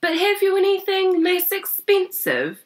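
A woman voicing a polite, weary, grumbling old grandpa in a slow, plaintive way for a storybook. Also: extremely high pitch, huge pitch swings, pitched above the performer's own voice, full character voice. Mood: hesitant, polite.

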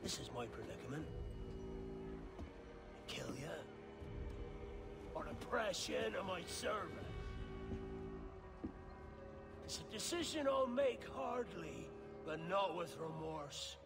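A middle-aged pirate captain for a video game, menacing and worn. His delivery is slow and theatrical, with pitch that swings widely. Music plays behind him. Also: very animated, creaky, heavily acted. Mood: cold menace. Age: 40s. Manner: theatrical, deliberate, swinging from roars to hushed growls. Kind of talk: video game dramatic acting.

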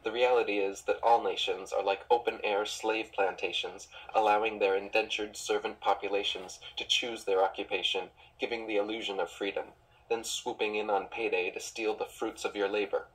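A man's voice: lowish voice, level tone, moderate pace, breathy edge, rough recording. A man reading a scripted narration in an earnest, indignant tone, full of rhetorical questions. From United States.

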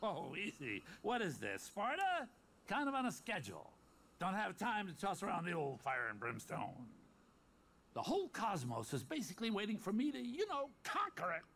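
A man voicing a sardonic, short-tempered underworld god in a video game, with gravelly, theatrical wisecracking patter. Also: sits high, huge pitch swings, normal speed, a bit bright, slight background noise, exaggerated voice. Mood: smug, dismissive. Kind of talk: animated video game cutscene acting.

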